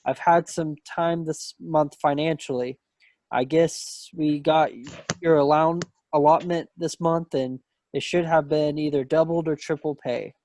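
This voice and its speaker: A breezy young serviceman of the Second World War acted by a man in a play reading. His voice is on the high side, rueful and a bit boastful, heard very dry over a video call. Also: fairly even intonation, unhurried, darkish tone, dry recording. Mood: matter-of-fact. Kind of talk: dramatic table read of a stage play. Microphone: computer mic on a video call.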